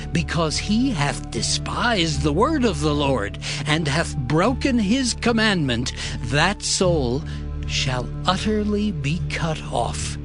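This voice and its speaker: A man playing a solemn lawgiver who delivers divine commandments with measured weight in a dramatized scripture reading. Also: a little high, big pitch swings, music in the background.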